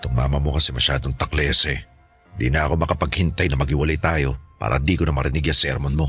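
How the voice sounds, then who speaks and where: A man's voice: extremely low pitch, steady tone, fast, fairly bright tone, faint music behind. A man playing a fed-up, sarcastic father bickering bitterly in a Filipino radio drama. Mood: bitter, irritated. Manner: sarcastic, irritated.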